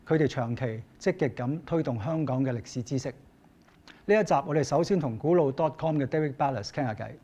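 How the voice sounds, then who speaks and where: A male interviewer with a mellow, middle-pitched voice. He introduces the episode and then puts polite questions to his guest, sometimes stumbling and restarting mid-sentence. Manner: polite, slightly halting questions. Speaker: interviewer and host. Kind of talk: scripted narration and interview questions.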